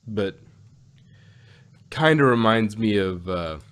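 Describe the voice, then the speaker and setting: A man chatting casually as a podcast host, rambling and halting, often repeating himself as he gives his opinions. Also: fairly low pitch, lively intonation, fairly bright tone, smooth. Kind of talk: casual opinionated fan chat.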